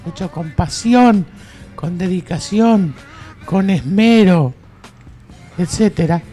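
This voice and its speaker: A man's voice: sits high, lively intonation, slow pace, dark tone, soft background music. A man playing a pompous, declamatory veteran showbiz commentator in a live comedy radio play. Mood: grandly solemn.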